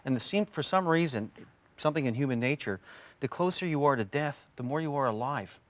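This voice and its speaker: A Canadian man gives his views as a guest historian on a talk panel. He speaks quickly at an average pitch, reflective and opinionated, in a very dry recording. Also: expressive, dry recording. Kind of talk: conversational panel discussion.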